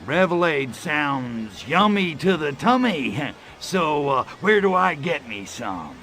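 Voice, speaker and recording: A swaggering alien invader from a video game. He is gravelly and sharp-edged, with a swooping, sarcastic delivery. He sounds crude and itching for a fight, over faint background noise. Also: on the high side, sing-song, takes it slowly, heavily acted. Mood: cocky, joking. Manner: sarcastic, smart-alecky drawl. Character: cocky, wisecracking male alien invader, crude and trigger-happy. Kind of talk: video game cutscene acting.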